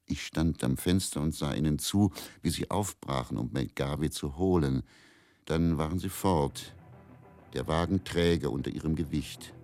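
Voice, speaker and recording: A man playing a weary, fatalistic middle-aged faith healer in a radio drama monologue, telling his story in a measured, brooding way. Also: rumbling low, a little mellow, dry recording, soft background music. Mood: resigned, reflective.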